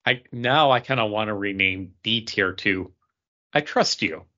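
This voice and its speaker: A man's voice: lowish voice, unhurried, bright, forceful tone, dull audio. A man chatting casually and emphatically as a podcast co-host, giving his opinions in a panel ranking.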